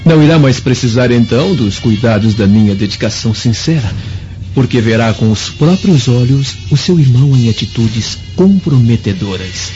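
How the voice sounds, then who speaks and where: An actor playing a scheming false friend in a radio melodrama, insinuating and falsely devoted. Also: male voice, medium pitch, animated, normal speed, warm tone, close to an everyday voice. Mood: sly, urging. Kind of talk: melodramatic radio drama acting. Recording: dull echoey radio drama, music behind.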